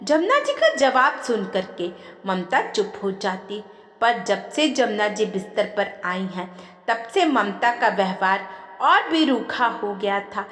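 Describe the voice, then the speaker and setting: Slow, expressive woman storyteller with echo. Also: medium pitch. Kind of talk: scripted emotional story narration. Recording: echoey, long reverb.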